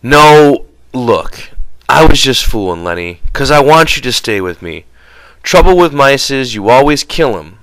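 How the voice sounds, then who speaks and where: A man's voice: medium pitch, animated, unhurried, a bit bright, no echo, pitched above the performer's own voice, poor audio. A man voicing an impatient but protective migrant ranch hand in an audiobook, with blunt, folksy rural speech. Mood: conciliatory.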